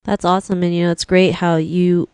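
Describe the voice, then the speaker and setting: A woman's voice: lowish voice, flat delivery, a little fast, a little mellow, smooth, dry recording. A woman from the Philippines hosting a podcast interview, encouraging, with many "you know" fillers. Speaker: podcast host.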